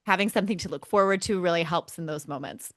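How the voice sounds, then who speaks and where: Woman, lowish voice, casual sports podcast co-host, emphatic fan talk, close dry sound. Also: fast talker, fairly bright tone, no echo.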